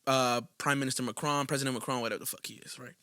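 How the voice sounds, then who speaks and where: Fast-talking animated man, podcast co-host. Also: mid-range voice, no echo, clean studio sound. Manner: animated, profane, emphatic. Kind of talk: casual podcast chat.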